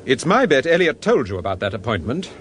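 A man acts a confident sleuth in a radio thriller. He is mid-pitched and pointed, with expressive swings in intonation and slight noise on the recording. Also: natural voice. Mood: probing. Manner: measured, pointed, assured. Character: shrewd, composed gentleman detective, confidently accusing. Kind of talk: radio crime drama acting.

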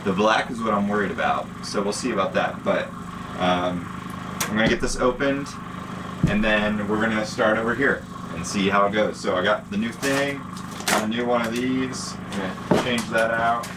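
Upbeat young man, low voice. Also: normal speed, noisy background. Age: young adult. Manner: chatty, upbeat, self-filming. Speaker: vlog host. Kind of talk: casual renovation vlog. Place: inside a gutted RV, hard bare walls. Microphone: camera held at arm's length. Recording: music playing in the background.

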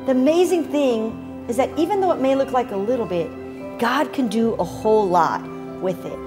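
A young woman giving a children's message in a bright, animated way, talking to kids in a living-room church set. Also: fairly high pitch, normal speed, over music. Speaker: children's pastor.

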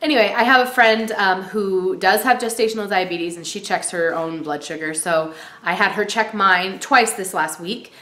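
A woman's voice: medium pitch, normal speed, sharp tone. Chatty woman with a stuffy cold. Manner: chatty, many ums and likes. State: stuffy from a cold, clearing throat. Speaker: vlogger. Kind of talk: casual pregnancy update vlog. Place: small room indoors. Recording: roomy echo.

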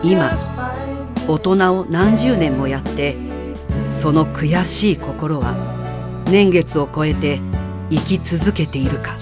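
A woman narrating a personal essay about a film, reading in a calm, reflective, measured way. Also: low voice. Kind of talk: calm literary narration over film clips. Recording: voice-over with music underneath.

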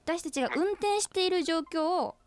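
A woman's voice: extremely high pitch, moderate pace. A woman co-hosting a casual radio chat, listening attentively with short acknowledgements. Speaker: radio show co-host.

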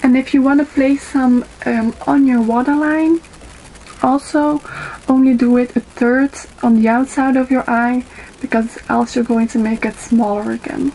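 A young woman in her twenties with a Dutch accent, whose first language is Dutch, giving a makeup tutorial into a webcam in a small bedroom, pausing often with ums as she works. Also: sits high, steady tone, warm tone, faint music behind, rough recording. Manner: unhurried, with frequent ums and pauses while applying makeup. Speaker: beauty vlogger. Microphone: webcam in front of her.

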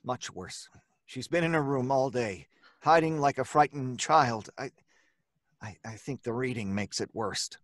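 An actor playing an anxious, disbelieving husband in an old-time radio horror play, earnest, halting and melodramatic. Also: male voice, mid-range voice, animated, a bit bright, no room sound, clean studio sound. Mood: worried. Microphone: close studio microphone.

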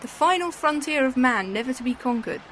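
A woman's voice: high voice, lively intonation, fairly quick, a bit bright, slight background noise. A woman playing an eager, chatty time-travelling companion in a fan audio drama. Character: bright, enthusiastic female time-travelling companion.

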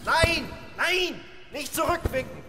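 A man's voice: very high-pitched, expressive, slow pace, sharp tone, soft background music, full character voice. A man playing a sardonic, exasperated minder coaching his master in a German radio play. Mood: alarmed. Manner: coaxing, dry, exasperated. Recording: echoing hall, faint noise.

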